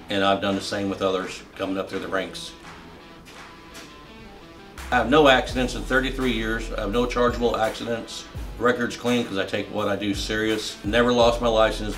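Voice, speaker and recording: Veteran truck driver, man in his fifties, low-pitched voice, matter-of-fact delivery over faint music. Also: fairly bright tone. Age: 50s. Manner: plainspoken, matter-of-fact, lists in short bursts. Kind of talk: answering interview questions.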